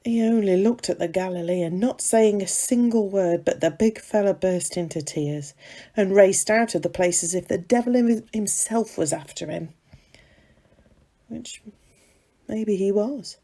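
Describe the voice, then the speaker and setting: A woman reading a dramatic monologue as a gossipy serving maid of ancient times, confiding and conversational, with dramatic pauses. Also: medium pitch, mellow. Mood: sombre, intent.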